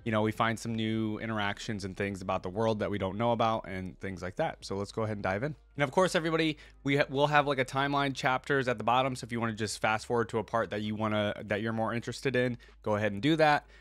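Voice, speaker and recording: A man's voice: fairly low pitch, fast, hardly any pauses, a bit bright, no echo. A man hosting a reaction video, speaking conversationally as he comments and reads lore aloud. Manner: conversational, interjects his own reactions while reading. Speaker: YouTube reaction host.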